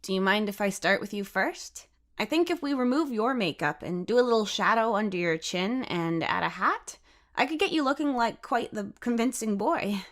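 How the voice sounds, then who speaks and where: A woman's voice: medium pitch, expressive, normal speed, voice pushed up, natural voice. A woman voicing a timid, sweet teenage girl in an audiobook story, hesitant and earnest. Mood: gentle, tentative.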